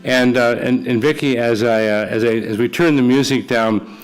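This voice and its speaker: A man's voice: medium pitch, steady tone, normal speed, clean tone, rough recording. A man with an American accent, speaking as the host and announcer of a live radio theater broadcast. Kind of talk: live broadcast credits and on-stage chat. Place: church with live studio audience.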